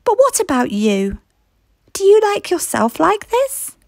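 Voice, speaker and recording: A woman's voice: very high voice, big pitch swings, a little slow, creaky, dry recording, clean studio sound, pitched above the performer's own voice, heavily acted. A woman voicing a child's loving but firm mother in a storybook, in a reassuring, coaxing manner. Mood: tender. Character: Ellie's gentle, loving, firm mum.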